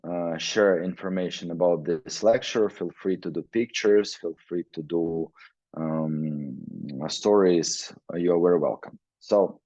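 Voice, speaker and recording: A man from Ukraine giving a webinar talk in halting English, with frequent fillers. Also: sits low, fairly even intonation, dark tone, no room sound, lo-fi sound. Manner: halting non-native English, many fillers. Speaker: guest presenter, weightlifting researcher. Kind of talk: webinar presentation. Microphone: video call.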